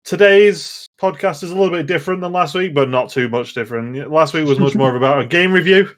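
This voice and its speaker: A man's voice: a little high, quick pace. A man hosting a casual gaming podcast, chatty and digressive, often interrupting himself as he goes. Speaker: podcast host.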